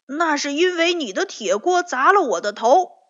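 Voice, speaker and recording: A small storybook frog with a high, childish voice, put on by a woman. It is plucky and emphatic, and the recording sounds slightly dull. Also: takes it slowly, fairly bright tone. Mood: indignant. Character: young frog, defiant little child-like friend.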